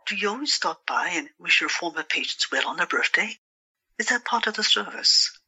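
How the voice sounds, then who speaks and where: Audio drama: woman as courteous, inquisitive visiting sister, breathy tone with a sharp bright edge. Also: lowish voice, expressive, moderate pace, heavily acted. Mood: amused, curious. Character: polite, curious woman, visiting sister of a patient.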